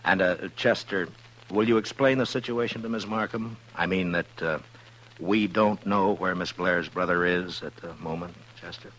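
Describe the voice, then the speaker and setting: A deep-voiced, steady frontier marshal. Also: male voice, moderate pace. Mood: evasive, gentle. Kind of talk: 1950s radio western acting. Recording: noisy 1950s radio recording with hum.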